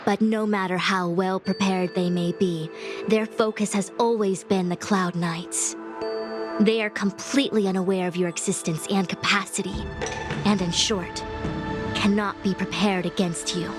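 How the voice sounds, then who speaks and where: An actress playing a composed, authoritative female diviner commander in a game, speaking in a formal, measured, solemn way. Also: medium pitch, fairly even intonation, natural voice. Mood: composed, resolute. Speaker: game character voice actor. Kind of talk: scripted game story dialogue. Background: music.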